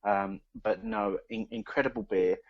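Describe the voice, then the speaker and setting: A man's voice: fairly low pitch, fairly even intonation, normal speed, dry recording. A man co-hosting a beer podcast, rambling casually with lots of ums and restarts. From East Anglia, England. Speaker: podcast co-host. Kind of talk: casual beer review chat. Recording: dry dull lo-fi podcast recording.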